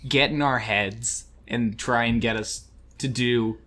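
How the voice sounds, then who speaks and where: A young man co-hosting a casual podcast, reacting with slow, playful jokes. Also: a little hiss or hum. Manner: slow, jokey reactions with lively pitch. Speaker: podcast co-host. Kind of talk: casual chat.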